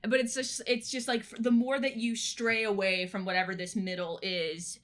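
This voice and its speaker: A woman co-hosting a podcast in casual chat, animated and full of fillers and restarts. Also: on the high side, moderate pace. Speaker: podcast co-host.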